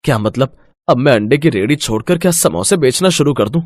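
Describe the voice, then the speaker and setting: A man's voice: medium pitch, animated, a little fast, a little mellow, no echo, studio quality, natural voice. A man playing an earnest, plain-spoken young husband who sells food from a cart in a moral story. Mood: doubtful.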